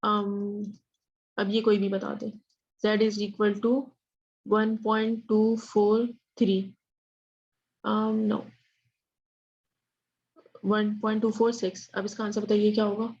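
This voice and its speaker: Woman teacher, pretty flat pitch, keeps asking questions, slips into english, muffled lo-fi sound. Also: extremely slow, pauses often. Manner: questioning, checks understanding often; mixes English phrases into speech. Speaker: statistics teacher. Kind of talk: interactive online math lesson. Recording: dull lo-fi audio.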